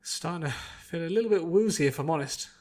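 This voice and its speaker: A man's voice: on the high side, brisk, a little mellow, voice pushed up, close to an everyday voice. Man doing a folksy, tough farm-girl pony. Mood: woozy. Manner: folksy, plain-spoken. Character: plain-spoken, tough young female farm pony fighter.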